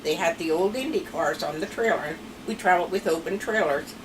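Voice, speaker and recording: A woman's voice: fairly low pitch, fairly quick. A woman in her seventies with a Pennsylvania Dutch accent, chatting and rambling through family stories as a grandmother being interviewed at home. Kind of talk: casual family storytelling. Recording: distant mic with clear background noise.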